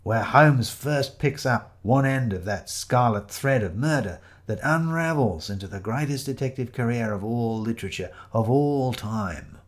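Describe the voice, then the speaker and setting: A man voicing a theatrical male amateur detective, declaiming with grand dramatic pauses. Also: mid-range voice, animated, close to an everyday voice. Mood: grandiose.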